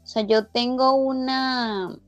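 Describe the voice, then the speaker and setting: A Venezuelan woman with a slightly raised voice talks in loose, rambling sentences, stopping and restarting her thoughts. Her Venezuelan accent now and then slips into an Argentine lilt. The audio is muffled and lo-fi, with soft music playing underneath. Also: high-pitched, steady tone, slow pace. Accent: Venezuelan Spanish with a slight Argentine lilt. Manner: chatty, rambling, many restarts and fillers. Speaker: interviewee. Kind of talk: casual chat.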